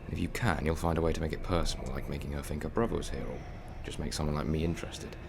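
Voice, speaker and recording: A man playing a quick-witted, defiant human time traveller in a sci-fi audio drama, sardonic and chatty as he thinks aloud. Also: a bass voice, steady tone, gravelly, noisy background. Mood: working it out. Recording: music behind the voice.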